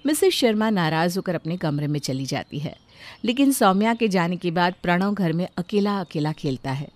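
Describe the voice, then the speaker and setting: A woman narrating a moral family story in a steady storytelling manner. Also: on the low side, normal speed, dry recording.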